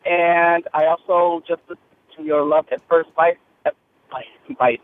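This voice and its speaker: A man's voice: a little high, fairly even intonation, a little slow, no room sound. A man calling in by phone from his car, leaving a chatty, enthusiastic fan message. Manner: gushing, enthusiastic. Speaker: caller leaving a listener voicemail. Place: in a car, driving. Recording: narrow phone line, faint noise.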